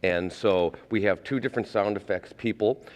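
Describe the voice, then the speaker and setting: Deep-voiced man in a reverberant hall. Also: normal speed. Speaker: theater director, interview guest. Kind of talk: explanatory interview talk.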